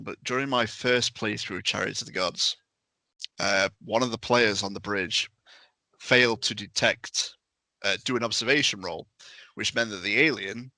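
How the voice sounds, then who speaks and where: A man's voice: on the low side, bright, forceful tone, no room sound, low-quality recording. A man on a podcast telling game stories casually, in emphatic, punchy short phrases. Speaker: podcast panellist and tabletop game master. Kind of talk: casual storytelling.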